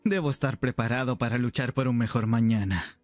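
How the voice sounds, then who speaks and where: A man's voice: medium pitch, fairly quick, a little mellow, no room sound, close to an everyday voice. A man playing an affectionate, playful and protective pirate captain in an anime roleplay, with music behind him. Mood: tender. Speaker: roleplay performer. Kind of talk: ASMR anime roleplay acting.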